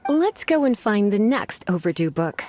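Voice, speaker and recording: A woman's voice: medium pitch, sing-song, normal speed, background noise, close to an everyday voice. A woman voicing a languid, teasing librarian mage in a video game, who drawls, sighs often and calls others cutie.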